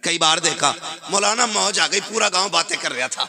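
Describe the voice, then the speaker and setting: A man with a high, forceful voice and a North Indian accent delivers an impassioned Islamic sermon. He drives his points home with rhetorical questions and emphatic repetition, speaking as a cleric to a live gathering. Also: expressive, moderate pace, vocal fry. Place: live religious gathering with audience.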